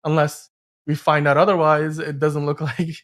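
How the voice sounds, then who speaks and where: A man hosting a podcast, discussing movie news casually in a halting, rambling way with self-corrections. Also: a little high, level tone, fairly bright tone. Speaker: podcast host.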